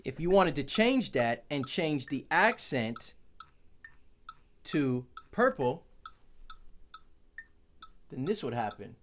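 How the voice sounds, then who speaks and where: A man's voice: a little high, sing-song, slow, long pauses, a little hiss or hum. A man, a percussionist, giving a casual, chatty product review from his office, correcting himself and joking as he goes.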